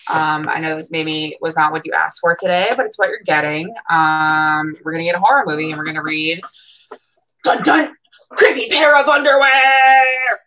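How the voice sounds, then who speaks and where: A woman's voice: deep voice, moderate pace, sharp tone, a little hiss or hum, poor audio. A young adult woman reading children's picture books aloud in a playfully dramatic way, with chatty asides, recorded in a small room at home.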